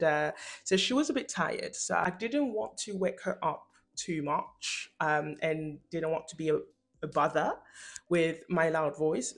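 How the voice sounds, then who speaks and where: Young woman doing a chatty video essay at home, lots of vocal fry, slangy n emphatic. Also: deep voice. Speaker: video essayist and channel host. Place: room at home.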